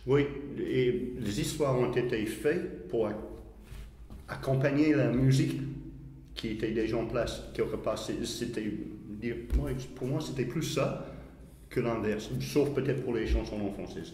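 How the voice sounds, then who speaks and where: A man's voice: medium pitch, a little slow, warm tone. A man from Australia speaking casually as a musician being interviewed. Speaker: musician in a blues duo, interviewee. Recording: echoey hall with long reverb, background noise.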